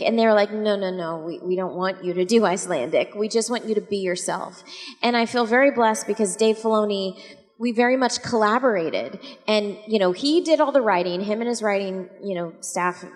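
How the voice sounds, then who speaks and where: A woman voice actor on a convention panel, telling stories in a lively, expressive way with quoted dialogue. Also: medium pitch. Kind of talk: animated anecdote telling. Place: large convention hall. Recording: echoey convention hall.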